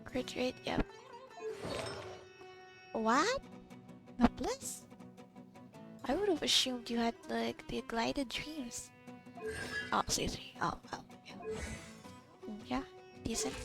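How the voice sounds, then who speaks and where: A woman's voice: fairly high pitch, expressive, takes it slowly, vocal fry, pauses often. A woman giving casual gameplay commentary, reacting in short remarks as she plays. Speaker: gamer playing and reacting. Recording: game music behind the voice.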